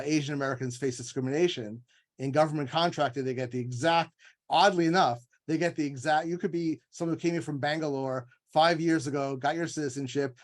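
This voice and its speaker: A man speaking as an author and scholar in an informal panel discussion, explaining in a discursive, emphatic way and often acting out imagined quotes. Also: mid-range voice. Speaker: author and legal scholar guest.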